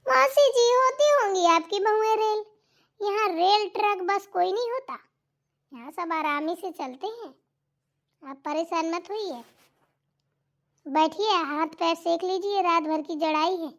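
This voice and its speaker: A woman voicing a cheeky, sharp-tongued young daughter-in-law in a cartoon comedy, mocking and talking back. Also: extremely high pitch, unhurried. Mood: defiant. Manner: mocking, talks back, teasing. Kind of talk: Hindi cartoon comedy voice acting.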